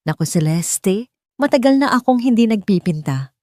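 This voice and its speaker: A woman's voice: mid-range voice, expressive, moderate pace, dark tone, dry recording, clean studio sound, voice pushed up, natural voice. A woman voicing a hesitant, self-effacing mother who used to paint, in an audiobook. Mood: reluctant. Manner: awkward, reluctant.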